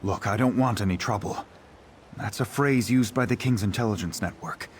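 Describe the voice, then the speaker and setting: An actor playing a guarded, brusque town grocer in a fantasy video game. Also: male voice, lowish voice, moderate pace, breathy edge. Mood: wary. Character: wary, irritable male town grocer.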